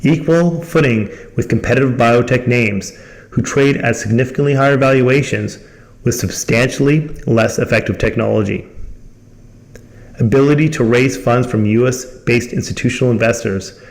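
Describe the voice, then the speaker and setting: A man's voice: mid-range voice, normal speed. A man reading a scripted company presentation in a formal manner as the host. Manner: formal, reading from prepared text.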